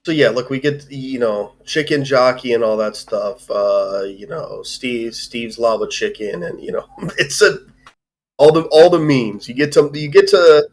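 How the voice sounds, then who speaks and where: A man's voice: mid-range voice, measured pace. A man hosting a live-streamed film discussion in an informal, rambling way with some swearing. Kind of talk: casual chat, film review.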